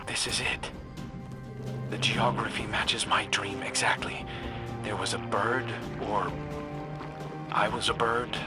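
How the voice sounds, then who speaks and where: An actor playing a troubled, brooding scout haunted by dreams, speaking in a hushed, halting way in a video game. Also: male voice, lowish voice, level tone, over loud music. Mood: hushed, intent. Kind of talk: dramatic video game dialogue.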